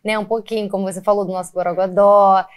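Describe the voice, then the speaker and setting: Chatty Carioca actress for an easygoing interview, medium-pitched woman. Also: flat delivery, fast. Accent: Carioca Brazilian Portuguese. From Rio de Janeiro, Brazil. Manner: chatty, many fillers like "né" and "assim". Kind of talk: casual interview chat.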